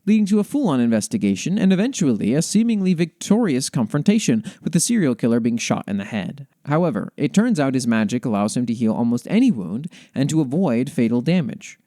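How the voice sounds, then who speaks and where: Male host, mellow relaxed tone, mid pitch, measured lore reader with thoughtful commentary. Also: dry recording, clean studio sound. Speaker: podcast host. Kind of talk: reading lore aloud with commentary.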